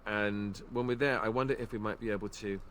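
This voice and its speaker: An awkward, earnest young knight voiced by a man talks in a hesitant, self-effacing ramble in a game. His voice sits a little low. A faint hum runs behind him. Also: fairly even intonation, fairly quick, fairly bright tone, lightly acted. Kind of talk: game character dialogue.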